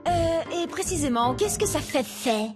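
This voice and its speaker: A woman's voice: sits high, animated, measured pace, over loud music. A woman playing a tactful young female cat in a French-dubbed children's cartoon, with hesitant, questioning phrasing. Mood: awkwardly tactful.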